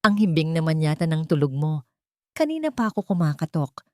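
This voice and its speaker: A woman's voice: low-pitched, sing-song, a little fast, mellow, dry recording, clean studio sound. A woman voicing a cold, suspicious rich girlfriend in an audio drama, sweet but cutting and slipping into English.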